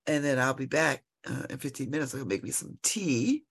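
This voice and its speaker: A woman's voice: extremely low pitch, lively intonation, brisk, gravelly, husky. A congested woman hosting local radio, chatting and rambling with exclamations. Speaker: radio host. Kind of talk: casual solo talk on local radio.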